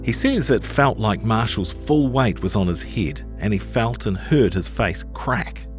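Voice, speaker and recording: A man's voice: lowish voice, expressive, a little slow, soft background music. A man with a New Zealand accent reading scripted journalistic narration for a podcast. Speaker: narrator of a long-read podcast.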